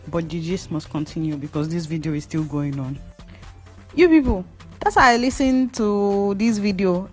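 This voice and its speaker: A woman's voice: fairly low pitch, darkish tone. A young Nigerian woman with a Nigerian accent and Yoruba as her first language, giving a hair-braiding tutorial voice-over in a playful, gossipy, rambling way.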